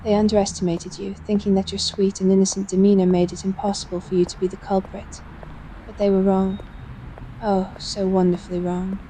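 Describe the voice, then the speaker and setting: Casting: female narrator, mellow, level delivery, scripted roleplay fiction, faint noise behind. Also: mid-range voice, low-quality recording. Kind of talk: scripted second-person story narration.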